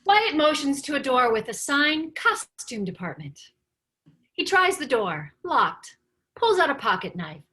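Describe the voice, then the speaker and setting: A woman's voice: high voice, sing-song, unhurried, pushed and bright. A woman narrating stage directions at a script table read.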